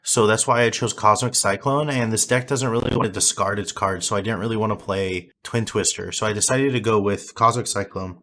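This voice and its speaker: A hoarse young man, having lost his voice, casually reviewing his card game deck with rambling fillers and restarts. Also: lowish voice, brisk, few pauses, fairly bright tone.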